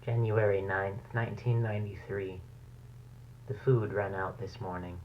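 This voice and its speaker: A man acting a brooding, low-voiced mutating scientist, muffled tape. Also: flat delivery, a little slow, close to an everyday voice. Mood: disturbed. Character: anxious male scientist slowly mutating into a bat creature. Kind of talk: horror audio diary, analog horror acting. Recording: dull, humming, noisy tape recording.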